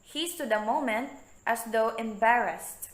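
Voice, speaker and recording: A woman narrating a story in a measured, hushed way for a reader's theatre. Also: on the high side. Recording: echoey room.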